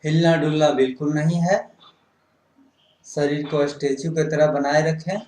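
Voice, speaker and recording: Male yoga instructor, fairly high pitch, very unhurried delivery of short guided-meditation cues. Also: fairly even intonation, darkish tone. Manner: instructing in short, repetitive phrases. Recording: roomy, echoing sound.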